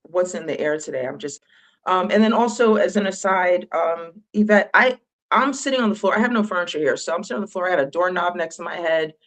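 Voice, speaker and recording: A woman speaking as a panelist on an online call, talking into a phone from the floor of an animal rescue, with a loud, bold voice and candid, tearful manner. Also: on the low side, quick pace. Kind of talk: emotional panel talk. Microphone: phone clipped to a dog crate.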